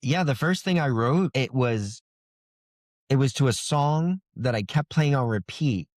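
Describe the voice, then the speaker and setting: A man's voice: medium pitch, animated, a little slow, a little mellow, no echo, clean studio sound. A man speaking conversationally as an author in an interview, explaining how he writes. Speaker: author being interviewed about his book.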